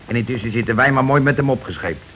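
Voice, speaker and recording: An actor playing a bossy, calculating working-class husband in a Dutch radio play, blunt and argumentative in colloquial speech. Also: male voice, medium pitch, fast talker, fairly bright tone, natural voice. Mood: grumbling. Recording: dull, noisy old radio recording.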